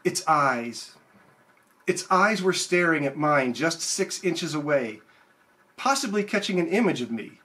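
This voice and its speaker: A man's voice: fairly high pitch, lively intonation, a little slow, sharp tone. A man who chats casually and reads his own poem at an open mic. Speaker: poet. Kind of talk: casual chat and poem reading.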